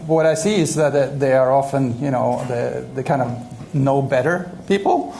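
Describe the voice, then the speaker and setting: A man's voice: mid-range voice, moderate pace, vocal fry, mellow, a little hiss or hum, poor audio. A man answering on a conference panel, filling his talk with "you know" and "uh". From Norway. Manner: many fillers, "you know" often. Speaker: head of innovation, panelist.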